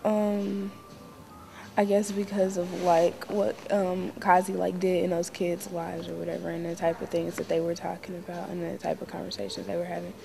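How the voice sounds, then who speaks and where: A woman's voice: on the low side, little pitch movement, moderate pace, gravelly, dark tone, faint music behind. A woman in the audience answering a question in a hesitant, casual way. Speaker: audience member.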